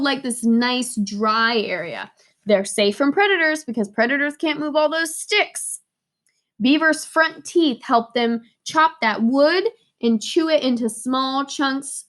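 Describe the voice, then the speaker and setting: A woman with a high-pitched voice leads a read-aloud lesson for young children. She takes her time, explaining in simple words, repeating key words for emphasis in a playful teacher's manner. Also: fairly bright tone.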